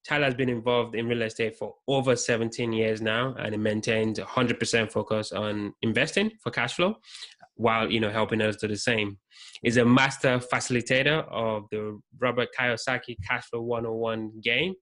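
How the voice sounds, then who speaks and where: Man with a light, bright voice hosting. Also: mid-range voice, steady tone, normal speed. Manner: friendly, filler-heavy questioning. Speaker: podcast host. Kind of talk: casual interview hosting.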